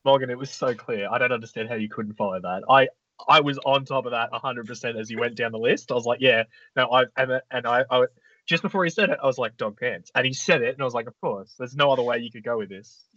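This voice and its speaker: A man's voice: mid-range voice, fast talker, bright, forceful tone, no room sound. A man co-hosting a comedy podcast, rambling in a mock-earnest way. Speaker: podcast co-host, contestant. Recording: dry lo-fi podcast audio.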